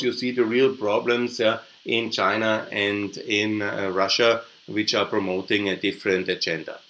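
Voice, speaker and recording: A man's voice: lowish voice, bright, forceful tone. A man with an Austrian accent, a native German speaker, giving opinionated, emphatic off-the-cuff political commentary as a podcast host. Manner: emphatic, opinionated, repeats points, tags with "yeah?". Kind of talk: unscripted political commentary over slides.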